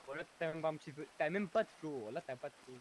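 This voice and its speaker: High-voiced man arguing in game chat. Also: racing. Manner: slangy, insulting, argumentative. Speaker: online roleplay gamer. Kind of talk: casual banter in online roleplay game. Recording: noisy gaming voice chat.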